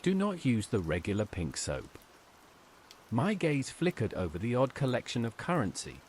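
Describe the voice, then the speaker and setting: A man narrates a scripted horror tale in the first person. His pitch is ordinary for a man but moves with a lot of expression. Soft rain sounds behind the voice. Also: wildly swooping pitch, normal speed, slight background noise.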